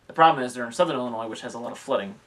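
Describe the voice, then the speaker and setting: A man's voice: medium pitch, rapid-fire, a bit bright. A man co-hosting a podcast, talking casually and conversationally about a hobby. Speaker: podcast co-host.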